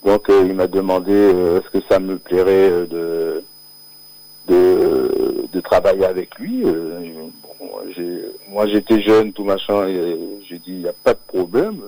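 A singer from Martinique, born near Paris, talking as a guest on a live radio show, hesitant, with many fillers and restarts and frequent laughs. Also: male voice, low-pitched, a little slow, dark tone, low-quality recording. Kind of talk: live radio interview, casual chat. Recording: radio broadcast audio.

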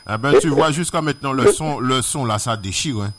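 A man hosting a live radio show, introducing and chatting with a guest in a relaxed, talkative way. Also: medium pitch, brisk, crowd noise. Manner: relaxed, chatty, nostalgic asides. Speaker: radio host. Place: radio studio. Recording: radio broadcast audio.

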